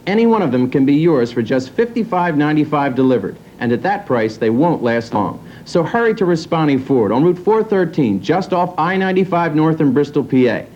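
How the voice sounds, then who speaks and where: A man's voice: a little high, lively intonation, a little fast, few pauses, darkish tone. A man reading a scripted local car dealer commercial in 1970s announcer style. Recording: dull, noisy old TV tape.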